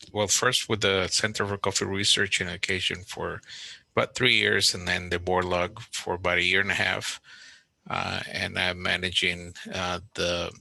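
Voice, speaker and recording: A man with a very deep, heavily gravelly voice that has a hard, bright edge and a raspy grain. He introduces himself on a webinar in a steady, matter-of-fact way. The audio is dry and close. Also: no echo. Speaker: project manager.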